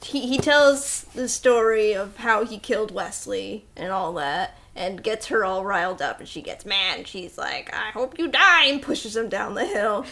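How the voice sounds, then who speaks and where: A woman's voice: medium pitch, expressive, moderate pace, vocal fry, few pauses, pushed and bright. A woman hosting a casual film podcast, recapping the story and playfully acting out bits of it. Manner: acts out quoted lines playfully.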